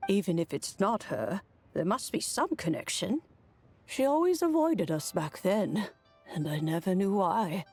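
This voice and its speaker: A woman's voice: mid-range voice, expressive, darkish tone, breathy edge, faint music behind. Game role: composed, kindly female elder, medium register, lilting melody, gracious delivery. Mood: pensive. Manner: gracious, measured, thoughtful. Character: kindly, composed elder woman, a wise immortal. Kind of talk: fantasy game voice acting.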